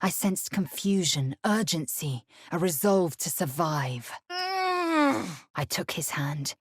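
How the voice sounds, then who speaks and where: A woman voicing a fierce, proud githyanki warrior in a video game, imperious and clipped in manner, with a husky, breathy voice. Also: on the low side, very animated, takes it slowly, no room sound, clean studio sound, exaggerated voice. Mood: solemn narration.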